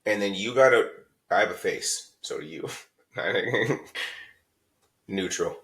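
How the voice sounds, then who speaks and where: Blunt, swearing man with a low voice. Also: slow pace, a bit bright. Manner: blunt, heated, swears freely. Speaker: podcast co-host; parent. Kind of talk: candid podcast discussion.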